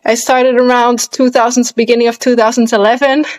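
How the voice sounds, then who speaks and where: A woman's voice: on the high side, little pitch movement, a little fast, darkish tone. A woman in her thirties from the Netherlands with a Dutch accent, chatting casually as an interview guest and sometimes searching for English words. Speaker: guest, pole dancer and movement artist.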